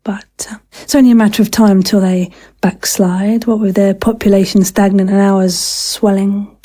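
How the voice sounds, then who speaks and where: Woman acting a cold, zealous veteran doctor, mellow voice. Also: mid-range voice, level tone, close to an everyday voice. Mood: matter-of-fact. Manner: halting, pointed, condescending. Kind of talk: audio drama acting.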